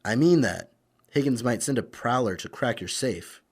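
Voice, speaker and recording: A man's voice: mid-range voice, expressive, normal speed, slightly rough. A man playing a confident masked vigilante in an old-time radio crime drama, clipped, sly and commanding. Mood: stern.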